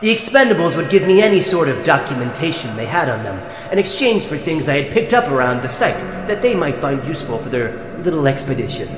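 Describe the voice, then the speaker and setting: A man's voice: fairly high pitch, sing-song, fairly quick, hardly any pauses. A man voicing a sardonic mutant sea-creature captive in a fan comic dub, with theatrical, drawling sarcasm. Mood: theatrical glee. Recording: echoey, long reverb, loud music behind. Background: music.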